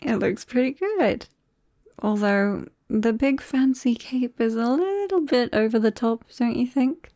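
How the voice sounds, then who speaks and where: Casting: a woman playing a nervous young British vampire friend, slow, mellow and soft-spoken, for close-mic ASMR roleplay. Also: a little high, lively intonation, no echo. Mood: teasing. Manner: hesitant, soft-spoken, breaking into whispers and small nervous laughs. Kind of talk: intimate ASMR roleplay acting. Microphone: close-up microphone, dry.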